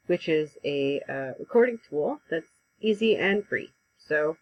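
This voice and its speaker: A woman giving a casual, conversational software tutorial over a headset mic, with frequent ums. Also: deep voice, dull audio. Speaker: instructor. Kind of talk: screen-recorded software tutorial.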